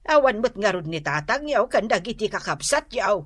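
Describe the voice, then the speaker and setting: A woman's voice: medium pitch, very animated, moderate pace, no echo, clean studio sound. Swooping, wheedling Filipino mother. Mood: coaxing. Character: wheedling older mother who keeps asking favours. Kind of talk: Ilocano comedy radio drama acting.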